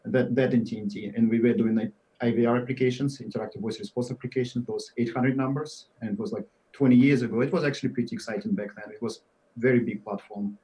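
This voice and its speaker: A man in his forties with a Russian accent, answering interview questions in a halting way, with many fillers and trailing off at the ends of phrases. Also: medium pitch, fairly even intonation, dark tone, rough recording. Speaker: guest software engineer.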